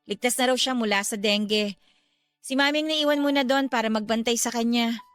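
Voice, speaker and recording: Actress as a high, bright-voiced young mother. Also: brisk, clear, resonant tone, no echo, faint music behind, lightly acted. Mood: suspicious, tired. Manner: polite, expressive. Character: weary, patient wife and mother of a sick child. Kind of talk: Filipino radio drama acting.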